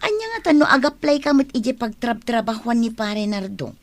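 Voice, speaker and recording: Gossipy, nagging village wife for radio drama; high-pitched, Ilocano accent, exclamatory. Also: female voice, animated, moderate pace.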